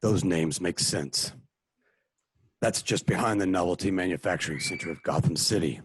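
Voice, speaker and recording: A deep, husky caped crime-fighter played by a man speaks in a measured, formal way. His pitch rises and falls widely, and the sound is dry and close. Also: no room sound. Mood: earnest. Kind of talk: amateur radio play acting.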